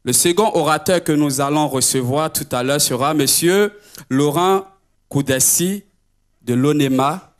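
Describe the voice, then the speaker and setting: A man hosting a seminar session, formally introducing the program in a measured, rhetorical way. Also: fairly high pitch. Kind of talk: formal scripted introduction of speakers.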